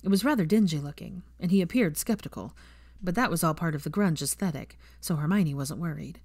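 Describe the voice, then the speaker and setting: A woman's voice: on the low side, fairly quick, a little mellow, husky, no echo, clean studio sound. A woman narrating a scripted story as an audiobook reader.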